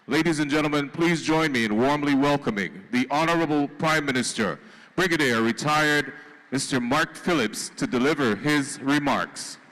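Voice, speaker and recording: A man's voice: medium pitch, a bit bright, long reverb tail, low-quality recording. A man serving as master of ceremonies, formally introducing the next speaker at an outdoor courtyard event, with a few small restarts.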